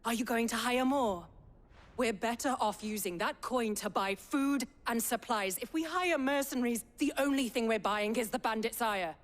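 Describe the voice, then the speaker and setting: A woman's voice: on the high side, moderate pace, close to an everyday voice. An actress playing a defiant, practical townswoman in a fantasy game, arguing insistently. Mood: heated. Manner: argumentative, insistent. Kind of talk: heated game cutscene acting. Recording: long reverberant hall sound.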